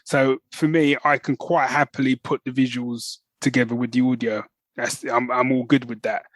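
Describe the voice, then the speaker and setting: A man with a medium-pitched voice co-hosts a casual podcast. He speaks as an enthusiastic fan, informal and chatty, often checking that the listener follows. The sound is dry, with no room echo. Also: level tone, normal speed. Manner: chatty, informal, often checks in with "right?" and "you know what I mean". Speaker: podcast co-host.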